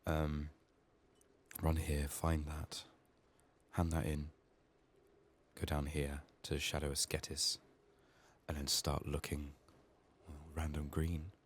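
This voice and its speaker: A man doing soft-spoken, often whispered ASMR game commentary, muttering to himself with a voice strained by illness. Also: a bass voice, completely flat delivery, slow pace, pauses often, slightly rough. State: sick, resting a strained voice. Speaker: video game streamer.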